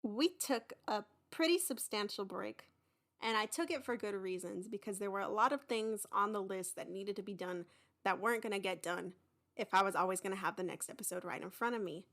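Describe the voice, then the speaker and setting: A young woman hosting her own podcast, talking casually and excitedly in a rambling, informal way. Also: medium pitch, brisk. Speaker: podcast creator and host. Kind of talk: casual, chatty podcast announcement.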